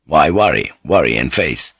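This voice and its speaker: A blunt but affectionate seafaring man from a historical storybook, acted by a male narrator, with a lowered voice. He speaks in terse, sometimes halting phrases, close and dry on the recording. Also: animated, fairly bright tone, breathy edge, no room sound, exaggerated voice. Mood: halting, concerned. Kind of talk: audiobook character voice.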